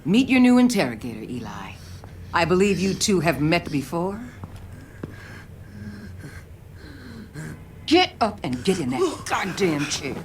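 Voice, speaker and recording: An actress playing a ruthless middle-aged federal agency boss in a video game, blunt and commanding. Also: female voice, fairly low pitch, sing-song, normal speed, slight background noise. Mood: menacing.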